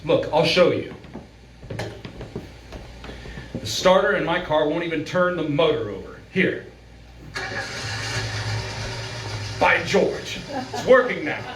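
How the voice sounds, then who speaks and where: An earnest, urgent doctor played by a man in an old-time radio suspense play. His voice sits a little high and is emphatic and commanding. It is heard from a distance in an echoey room. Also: lively intonation, normal speed, noisy background, lightly acted. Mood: grave insistence. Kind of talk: live old-time radio drama acting. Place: live venue performance, picked up from a distance.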